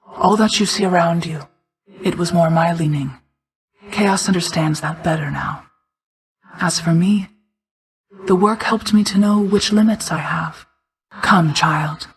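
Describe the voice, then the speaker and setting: A woman playing an ancient, regal mother goddess of night in a video game, speaking in a formal, measured, restrained way, hushed at times. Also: on the low side, close to an everyday voice, low-quality recording. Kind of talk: scripted video game character acting.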